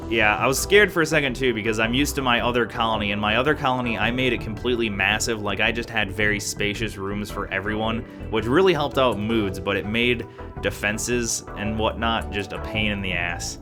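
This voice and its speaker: A man's voice: on the low side, fairly quick, music in the background. A man rambling casually as he comments on the video game he is playing. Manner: chatty, rambling, thinks aloud. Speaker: let's play gamer.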